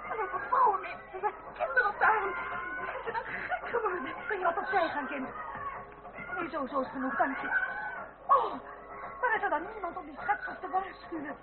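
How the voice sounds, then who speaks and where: A high-voiced fretful aristocratic woman. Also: animated, normal speed. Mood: alarmed. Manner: fretful, exclamatory. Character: anxious aristocratic madame and her frightened young female companion. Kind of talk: period radio drama acting. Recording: old narrow-band radio play, noisy crowd behind.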